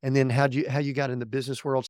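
A man hosting a podcast, asking his guest questions in a deliberate way, slowing down and restarting his phrases. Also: medium pitch, flat delivery, darkish tone, no room sound, clean studio sound. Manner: deliberate, slow in places, with restarts. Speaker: podcast host. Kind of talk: interview questions.

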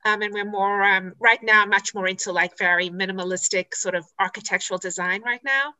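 Bright-voiced South African woman. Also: mid-range voice, rough recording. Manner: chatty and candid, with frequent "um" pauses and self-corrections. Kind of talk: podcast interview.

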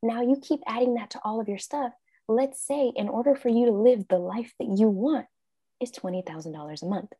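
A woman's voice: on the high side, a little fast, breathy edge, no room sound, clean studio sound. A woman life coach, a guest in an interview, talking casually and acting out her own thoughts in quotes. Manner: animated, acts out her own quoted thoughts, frequent laughs.

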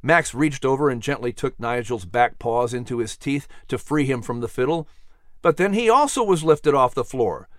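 Man, expressive storybook narrator, widely varied intonation, medium pitch, dry close recording. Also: moderate pace, dry recording. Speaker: storyteller and host. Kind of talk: expressive scripted children's audiobook narration.

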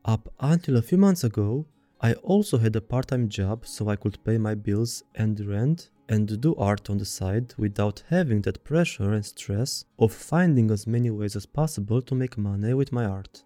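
A man with a Romanian accent hosting a podcast, reading a word-for-word script in an even, deliberate way. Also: fairly low pitch, no echo, soft background music. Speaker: podcast host.

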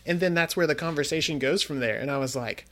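A man in a casual roundtable chat, speaking in a halting, conversational way as a panelist. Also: fairly high pitch, sharp tone. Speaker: podcast panelist.

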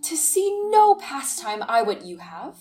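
Woman playing a slow, high-voiced melancholy courtier. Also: very animated, darkish tone, soft background music. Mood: solemn, wry. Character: melancholy, contemplative, sardonic courtier in Shakespearean comedy. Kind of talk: Shakespearean radio play acting.

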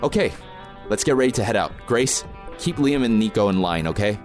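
A man's voice: lowish voice, animated, fairly quick, darkish tone, faint music behind, close to an everyday voice. A man playing an experienced, protective protest organizer in an audio drama, plain-spoken, informal and matter-of-fact. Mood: reassuring, brisk. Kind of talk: naturalistic audio drama acting.

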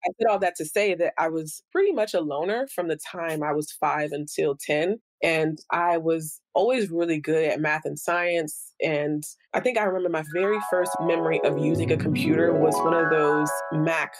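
A woman's voice: low-pitched, moderate pace, few pauses, dry recording, soft background music. A woman in her thirties telling her career story in a casual interview, chatty and laughing often. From born in the Bahamas, raised in the US. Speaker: podcast guest.

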